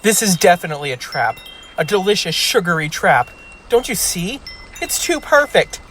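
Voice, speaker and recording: A woman's voice: lowish voice, very animated, normal speed, fairly bright tone, slight background noise. A woman playing an anxious, doom-predicting spaceship crew member in an audio sitcom, fretful and dryly fatalistic. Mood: anxious, doomy. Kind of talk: sci-fi audio drama sitcom acting.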